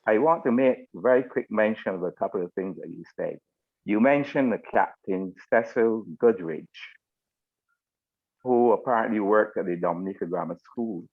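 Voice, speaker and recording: An older man with a Barbadian accent gives informal thanks on a group call, speaking in formal, deliberate phrases. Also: on the low side. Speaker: retired military officer giving closing remarks. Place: online video meeting. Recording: narrow phone-like video call audio.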